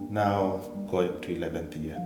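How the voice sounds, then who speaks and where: A man, a teacher, giving a brief, measured introduction on camera. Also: low-pitched, steady tone. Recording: roomy, hall-like reverb. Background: guitar music.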